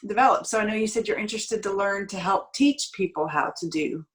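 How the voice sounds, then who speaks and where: Female interview host, mid-range voice. Casual, earnest, conversational delivery with expressive phrasing. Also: mid-range voice, a little fast. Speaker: show host.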